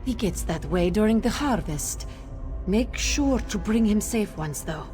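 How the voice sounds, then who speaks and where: A woman voicing a gentle, modest cat-folk fungus grower in a video game, speaking in a measured, matter-of-fact way. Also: mid-range voice, lively intonation, soft background music, lightly acted. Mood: calm, informative. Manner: speaks of self in third person, measured. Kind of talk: video game character dialogue.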